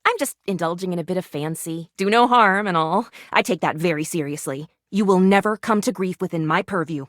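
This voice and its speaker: A woman's voice: on the low side, brisk, no room sound, studio quality, close to an everyday voice. A woman playing a perky, eccentric, gleefully morbid lady doctor in a video game, with gushing, old-fashioned, melodramatic phrasing. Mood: earnest. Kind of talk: animated video game character acting.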